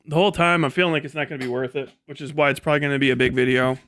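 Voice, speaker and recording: A man's voice: medium pitch, fairly even intonation, very fast, a bit bright. A man in his late thirties hosting a casual livestream, rambling and swearing freely with a self-deprecating manner. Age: late 30s. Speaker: livestream host.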